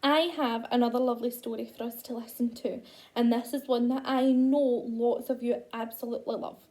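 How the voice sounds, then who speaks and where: A woman's voice: a little high, steady tone, fairly quick, mellow. A Scottish woman reading a picture book to young children as a nursery worker, speaking expressively straight to them.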